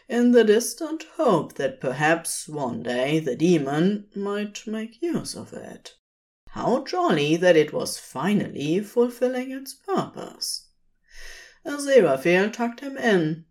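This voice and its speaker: Female fiction narrator, gentle and expressive. Slow delivery, very varied intonation. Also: deep voice. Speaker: podfic reader.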